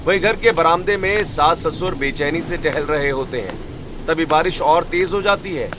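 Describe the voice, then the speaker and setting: A man narrating a cartoon story in a steady, descriptive manner. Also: on the high side, normal speed, noisy background.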